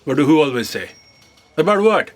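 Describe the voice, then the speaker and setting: An actor playing a literal-minded, conscientious assistant who objects politely, in a measured way, in a comedic sci-fi audio drama. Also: medium pitch, very animated, natural voice. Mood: puzzled concern.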